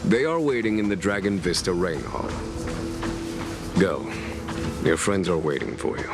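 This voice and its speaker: A deep, unhurried, weary general. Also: male voice, big pitch swings, exaggerated voice. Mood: composed. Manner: measured, formal, philosophical. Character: calm, weary, courtly general, burdened by duty. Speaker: voice actor in a video game. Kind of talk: scripted dramatic game dialogue. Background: music.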